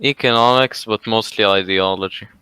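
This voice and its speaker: Lebanese-accented man, crisp and dry. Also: fairly low pitch, sharp tone, no room sound, low-quality recording. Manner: halting, restarts with fillers. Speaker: debater. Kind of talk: online voice-call debate.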